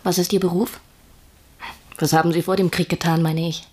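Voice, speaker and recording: Radio drama: young widowed mother, low husky voice, understated and frank. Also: flat delivery, moderate pace, darkish tone. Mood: curious, guarded. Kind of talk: German radio play acting.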